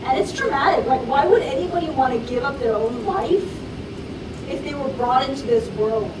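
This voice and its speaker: A woman presenting a program to an audience, speaking slowly and with emphasis. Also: high-pitched. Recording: distant mic in echoey hall, loud crowd and hum.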